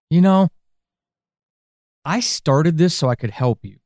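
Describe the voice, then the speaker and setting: A man playing a worried, frustrated friend in an audio drama monologue, speaking haltingly and intensely. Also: on the high side, darkish tone, no room sound, clean studio sound. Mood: frustrated, pleading.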